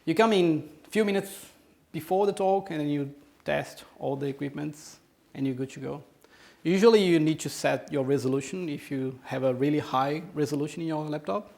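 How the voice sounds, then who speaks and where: A man's voice: a little high, normal speed, vocal fry, a little mellow. A man in his thirties with a Brazilian accent, answering audience questions after his conference talk, with frequent ums and restarts. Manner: hesitant, many restarts and ums. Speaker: conference speaker. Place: conference room.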